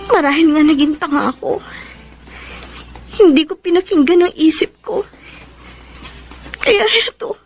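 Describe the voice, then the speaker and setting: A woman playing a betrayed, heartbroken young woman in an emotional Filipino radio drama, with a Filipino accent. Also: high voice. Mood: dejected, tearful. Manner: emotional, melodramatic. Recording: music bed under the voice.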